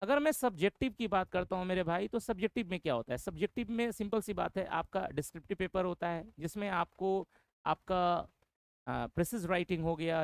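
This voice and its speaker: A man's voice: high voice, gravelly, no echo. A man introducing an English course to exam students, repeating his points and often calling them brother. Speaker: English teacher.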